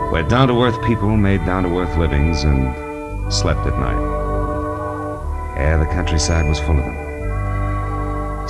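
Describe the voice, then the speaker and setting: A man playing a wry, tough private detective, acting and narrating in 1940s radio noir style. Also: sits low. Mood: brooding narration. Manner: sardonic, hard-boiled. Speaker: lead actor in radio detective drama. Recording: vintage 1940s radio broadcast recording.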